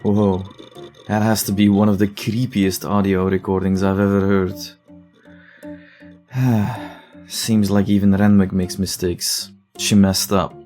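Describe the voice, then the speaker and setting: Actor as deep-voiced, sombre case-file narrator. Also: male voice, level tone, slow pace, pauses often, mellow, faint music behind. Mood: wry, unsettled. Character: sombre male investigator presenting mysterious case files. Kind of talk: scripted horror audio drama narration.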